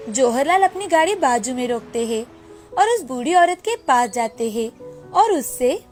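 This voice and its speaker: A woman's voice: high voice, very animated, unhurried. A woman narrating a scripted storybook tale as the storyteller, with music behind her. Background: background music.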